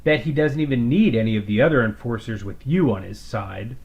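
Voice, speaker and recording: A man plays a sly, flirtatious woman in a space opera story. She flatters and coaxes in a drawling, suggestive way, always angling for advantage. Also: medium pitch, a little fast, gravelly, highs cut off, close to an everyday voice. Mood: flattering. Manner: drawling, coaxing innuendo.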